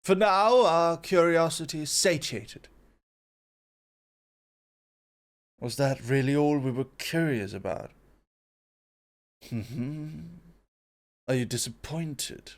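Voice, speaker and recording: A man playing a composed, intellectual god of foresight in an ASMR roleplay, formal, measured and gently superior. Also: a little high, expressive. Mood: curious, measured.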